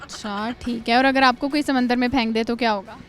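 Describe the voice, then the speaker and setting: A young woman interviewing students at a college, asking quick teasing follow-up questions in a playful way. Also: fairly high pitch, steady tone, over music. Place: college campus.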